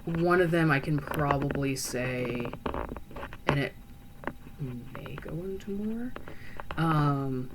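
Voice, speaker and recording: A woman's voice: sits low, slow, pauses often, noisy background. A woman playing a chatty, earnest young female vampire who talks in a rambling, hesitant way full of fillers and restarts. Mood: musing. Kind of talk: in-character roleplay monologue.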